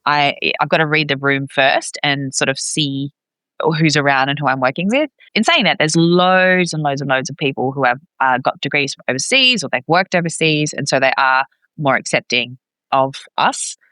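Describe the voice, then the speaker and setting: Bright-voiced, chatty woman in close sound. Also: sits low, normal speed, clean tone, dry recording. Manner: chatty, expressive, acts out reported dialogue. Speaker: podcast guest, expat parent. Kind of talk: casual conversational interview.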